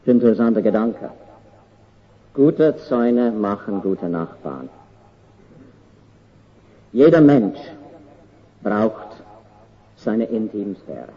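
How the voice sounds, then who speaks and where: An older man from Canada with an English accent, his first language English, preaching a sermon in an earnest, slow and deliberate way with halting pauses. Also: lowish voice, lively intonation, lo-fi sound.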